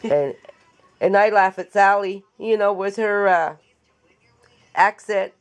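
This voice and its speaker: A woman talks through a paper craft in her home craft room, her voice raspy after an illness yet still smooth and even. She chats and jokes easily with a friend, pitched in a middle register. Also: slow. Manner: chatty, joking, laughs often. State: recovering from illness. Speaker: craft tutorial host. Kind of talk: casual chat while demonstrating a craft.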